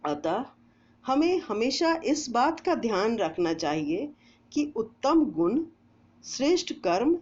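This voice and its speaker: A woman storyteller reading a moral essay aloud in a measured, deliberate way, with pauses between phrases. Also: medium pitch, darkish tone, dull audio. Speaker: storyteller narrator.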